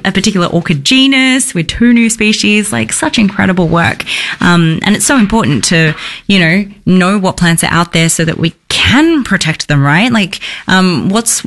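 A friendly, chatty interviewer asks long, rambling questions. The voice sits at a medium pitch and leans on fillers like "like" and "um". Quick laughs break in now and then. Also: fairly bright tone. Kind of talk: casual interview chat.

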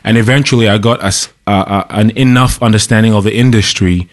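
Relaxed, chatty young man from Zambia. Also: fairly low pitch, quick pace. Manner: relaxed, chatty, laughs easily. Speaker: actor guest. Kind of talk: casual radio interview. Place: radio studio.

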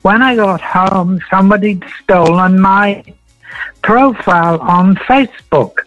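A man in his eighties from Wales, a veteran entertainer telling his story in a radio interview, slow and meandering with many ums. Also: sits high, fairly bright tone, dry recording, dull audio, faint music behind. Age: 80s.

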